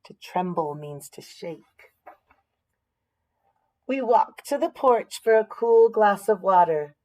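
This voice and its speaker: A woman's voice: mid-range voice, lively intonation, takes it slowly, dark tone, poor audio. An American woman reading a picture book aloud expressively, with explanatory asides and questions for young listeners.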